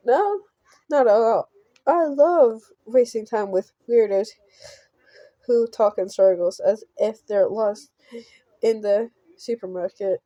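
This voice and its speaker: A woman's voice: a little high, takes it slowly, dark tone, no echo, muffled recording. A woman voicing a sarcastic, exasperated young store clerk, reading visual novel dialogue aloud.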